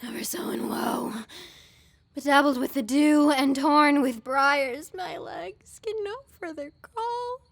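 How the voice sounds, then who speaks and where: A woman's voice: high-pitched, slow, gravelly, long pauses, full character voice. A woman playing a weary, sorrowful young woman in a plaintive Shakespearean audio drama. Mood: exhausted, woeful. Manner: plaintive, sighing delivery.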